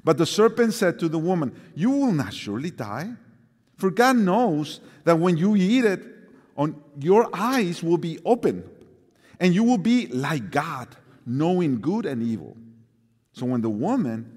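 A man with a Mexican accent, serving as guest preacher, reading scripture aloud in a lively voice and then speaking to the congregation with some hesitant fillers. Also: medium pitch. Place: large church sanctuary. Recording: long hall reverb.

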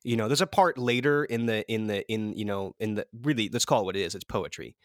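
A man hosting a casual comedy podcast, speaking in a mock-earnest, halting and encouraging way. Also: lowish voice, dry recording, studio quality. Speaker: podcast host.

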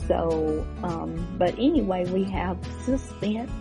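Relaxed, creaky-voiced woman host. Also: fairly low pitch, slow pace. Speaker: radio show host. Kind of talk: casual, chatty show introduction. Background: guitar music.

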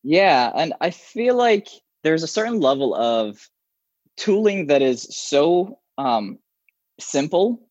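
A man whose first language is Arabic, a software developer talking casually in an interview, with many restarts and fillers. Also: on the high side, very animated, slow pace, no room sound, lo-fi sound. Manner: rambling, frequent restarts and fillers.

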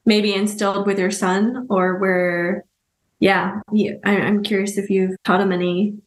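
A woman's voice: mid-range voice, flat delivery, a little slow, reverberant, poor audio. A woman co-hosting a podcast, asking curious, searching questions that she rephrases as she goes. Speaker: podcast co-host. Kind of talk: casual interview conversation.

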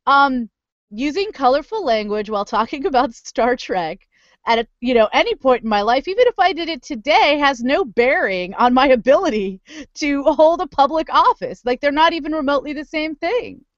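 A woman co-hosting a podcast in casual chat, blunt and animated, swearing freely. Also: high-pitched, moderate pace, no echo, lo-fi sound. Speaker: podcast co-host.

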